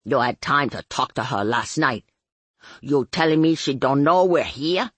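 Woman doing a gruff, husky, belligerent vampire guy. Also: very deep voice, expressive, measured pace, no room sound, exaggerated voice. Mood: indignant. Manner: blunt, whiny complaining, streetwise. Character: gruff, belligerent, slangy male vampire sidekick.

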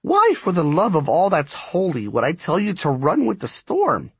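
A man's voice: sits high, huge pitch swings, normal speed, slightly rough, dry recording, voice pushed up, exaggerated voice. A man voicing a bossy, impatient and ancient female spirit who forges lightning, scolding and commanding in an audiobook story. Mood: exasperated, emphatic. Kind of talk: acted audiobook dialogue.

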